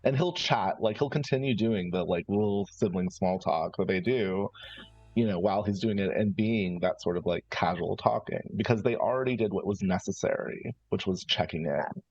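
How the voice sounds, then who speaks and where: A man's voice: sits low, normal speed, darkish tone, husky. A man playing a roleplaying game, casually improvising a description of his character and searching for words as he goes. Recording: slightly dull online stream audio.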